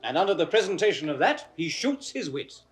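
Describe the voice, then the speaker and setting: Husky, stately British duke. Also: male voice, fairly high pitch, sing-song, a little fast, faint music behind. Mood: genial, reflective. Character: dignified, genial exiled duke and father. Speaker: actor.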